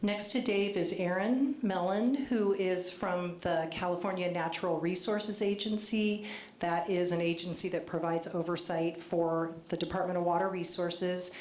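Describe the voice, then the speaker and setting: Soft voiced lady at a community meeting mic, kinda nervous, reading notes, roomy hall sound. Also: fairly low pitch, fairly even intonation, moderate pace. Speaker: state agency official presenting. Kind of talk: public meeting presentation. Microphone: handheld microphone. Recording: echoey hall with faint noise.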